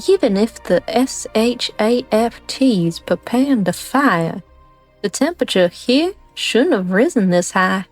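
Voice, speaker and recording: A woman's voice: on the high side, lively intonation, unhurried, dry recording. A woman playing a tough, sardonic female director in a fan-dubbed game story, dry and commanding. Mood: wry, confrontational. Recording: faint background music.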